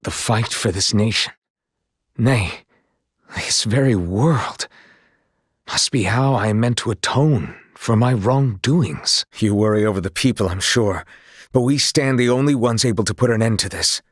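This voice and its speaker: An actor playing a solemn, honourable former sea knight in a video game, dutiful and full of remorse, with formal, courtly phrasing. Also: male voice, fairly low pitch, unhurried, breathy edge, no room sound, clean studio sound. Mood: earnest, resolute. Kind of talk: dramatic scripted game dialogue.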